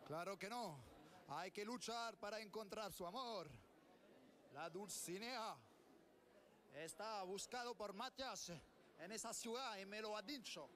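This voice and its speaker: A man's voice: high-pitched, unhurried, long pauses, fairly bright tone. A man playing a theatrical, lovelorn knight errant who pleads as he searches for his lady in a live street-style performance. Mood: impassioned.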